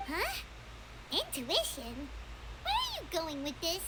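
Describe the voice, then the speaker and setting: Tiny squeaky game fairy, super high, swoops all over, chatty n blunt, noisy background. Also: female voice, huge pitch swings, slow, exaggerated voice. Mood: indignant, puzzled. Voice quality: squeaky, childlike. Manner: exclamatory, indignant, chatty. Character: Paimon, tiny childlike female fairy companion, excitable and blunt.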